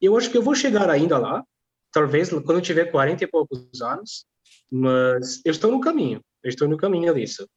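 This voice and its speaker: A man who learned Portuguese as a foreign language, a guest answering interview questions in an earnest, halting way, often repeating words. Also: a little high, sing-song, creaky, slightly rough, lo-fi sound. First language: not Portuguese. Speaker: guest, language teacher and singer.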